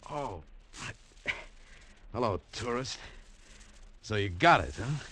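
A man's voice: lowish voice, lively intonation, takes it slowly, a bit bright, a little hiss or hum. An actor playing a tough male adventurer who narrates his own story in a wry, terse manner. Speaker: narrator and lead. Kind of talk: 1950s radio drama acting. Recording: old radio broadcast with music under it.

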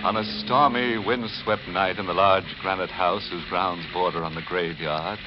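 An actor playing a mock-genial, sinister horror host, narrating theatrically and tongue in cheek on 1940s radio. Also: male voice, deep voice, fairly even intonation, normal speed, background noise, natural voice. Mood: ominous. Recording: muffled old radio recording.

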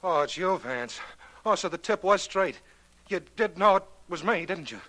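A punch-drunk ex-boxer turned killer in an old radio drama. His voice is high and sharp, excitable and full of sneering menace. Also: normal speed. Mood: cornered, menacing. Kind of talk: 1940s radio villain acting.

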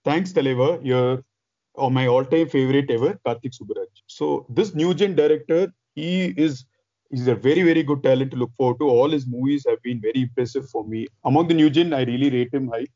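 A man chatting as a podcast host, loud and excited, rambling with restarts. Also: mid-range voice, fairly quick, no room sound, dull audio. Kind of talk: casual chat.

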